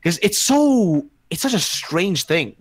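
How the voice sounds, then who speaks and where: A man's voice: sits high, sing-song, takes it slowly, breathy edge, no echo. A man from Bosnia with a shifting, mixed English accent, rambling and swearing in a casual podcast chat. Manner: rambling, swearing, self-interrupting. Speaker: podcast host.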